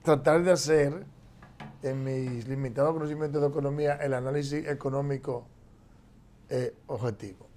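A man's voice: mid-range voice, steady tone, normal speed, darkish tone. A man, giving emphatic economic commentary in a TV studio. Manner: emphatic, gestures constantly while explaining. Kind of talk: political commentary on a TV news show. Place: TV studio, seated in chair.

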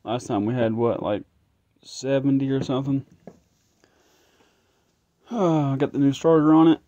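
A man's voice: medium pitch, steady tone, a little slow, mellow, dry recording, muffled recording. A man thinking aloud as he tests an engine at his garage workbench, casual and a bit frustrated. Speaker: home mechanic vlogger. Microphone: phone camera held close.

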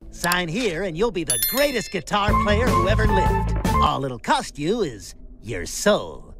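A man's voice: sits high, big pitch swings, unhurried, full character voice. A man voicing a devil who deals in souls with chirpy sales patter in a cartoon. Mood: tempting. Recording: music behind the voice.

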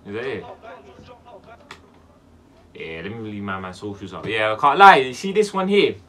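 A young man in his twenties with a London accent, hosting a music reaction video in casual slang-heavy banter, swinging from loud bursts to low mutters. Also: animated, moderate pace. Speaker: music reaction channel host. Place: small dark room indoors.

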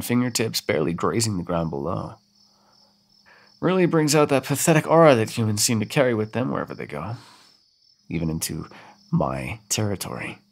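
A man's voice: on the low side, gravelly, husky, exaggerated voice. A man voicing a centuries-old male vampire in an audio roleplay, condescending and teasing with sudden threats. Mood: mocking.

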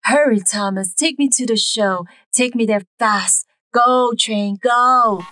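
A woman voicing a hurried lady judge from a children's story, urging and exclamatory. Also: fairly high pitch, fairly bright tone, no room sound. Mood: urgent.

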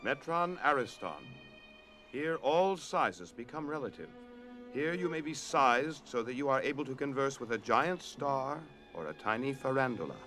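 A man's voice: mid-range voice, lively intonation, moderate pace, sharp tone, soft background music. A man playing a grave otherworldly guardian with a formal, authoritative manner in an audio drama. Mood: explanatory.

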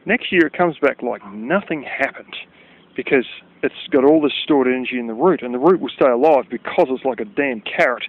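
A man's voice: medium pitch, a little fast. A man with an Australian accent, casually rambling as he walks outdoors by a river, blunt and chatty with offhand swearing. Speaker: vlogger. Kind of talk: casual walking commentary. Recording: tinny phone-like outdoor recording.

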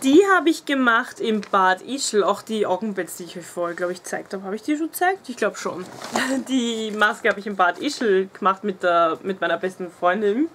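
A woman's voice: medium pitch, expressive, normal speed, fairly bright tone, slight background noise. A woman in her twenties with a Viennese accent, chatting casually and gushing about used-up beauty products, laughing often, in a small room at home. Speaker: beauty vlogger. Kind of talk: casual empties review.

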